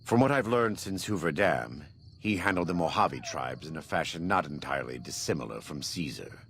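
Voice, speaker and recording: A man playing a grave, devout, burn-scarred former war leader in a video game, speaking in a solemn, measured, preacherly way with a deep gravelly voice. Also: lively intonation, a bit bright, heavily acted. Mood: grave, reflective. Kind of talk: video game dialogue acting.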